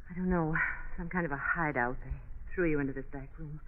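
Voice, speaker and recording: A woman's voice: low voice, a little fast, natural voice. An actress playing an anxious, loyal young woman in a 1940s radio drama. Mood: worried. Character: anxious, loyal young lady companion of hero. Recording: dull, noisy old radio recording.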